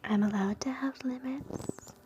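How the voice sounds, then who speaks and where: A woman whispers gentle ASMR affirmations very slowly, right against a sensitive microphone. Her whisper sits a little high, and steady noise hisses beneath it, with faint clicks. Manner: slow, soft whispering. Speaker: ASMR host. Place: home, indoors.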